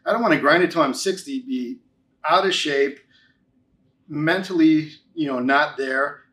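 A man's voice: fairly high pitch, unhurried, a bit bright. A man in his forties talking conversationally as an interview guest, giving a testimonial with emphatic, repeated key phrases. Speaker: interview guest, financial advisor.

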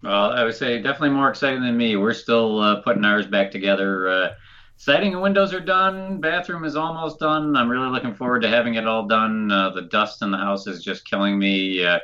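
A man's voice: fairly low pitch, brisk, sharp tone, muffled recording. A man co-hosting a podcast with a nasal, stuffed-up voice, chatting casually. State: stuffed-up nose. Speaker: podcast co-host.

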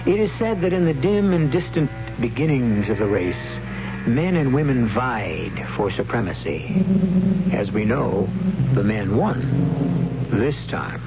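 A man hosting and narrating an old-time radio drama in a portentous, deliberate manner. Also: medium pitch, lively intonation, over music. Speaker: host and narrator. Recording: muffled, noisy old radio broadcast with hum.

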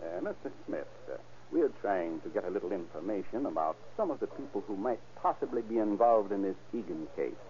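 An actor playing a shrewd, commanding police chief in an old-time radio crime drama. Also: male voice, on the low side, animated, fairly quick, mellow, close to an everyday voice. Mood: polite, tactful. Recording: narrow, tinny vintage radio recording.

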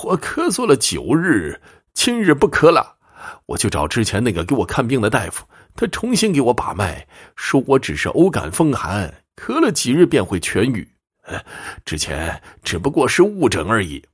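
A man's voice: medium pitch, huge pitch swings, normal speed, slightly rough, voice pushed up. A man voicing a gullible, aggrieved elderly commoner in a storybook tale, with a pleading, hesitant manner. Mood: indignant.

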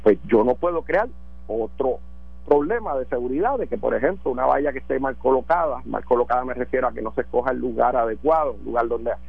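A man's voice: medium pitch, quick pace, gravelly, breathy edge, dry recording. A man, a government transportation secretary explaining policy on a radio phone interview, with many fillers. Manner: explanatory, full of verbal fillers. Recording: tinny dry phone line.

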